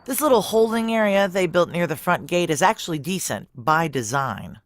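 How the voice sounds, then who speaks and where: A woman's voice: lowish voice, lively intonation, normal speed, a little hiss or hum, close to an everyday voice. A woman playing a tough, sardonic security officer in her thirties, American, narrating an audio drama in a dry, blunt way. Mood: wry, brisk. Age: 30s. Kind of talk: first-person noir audio drama narration.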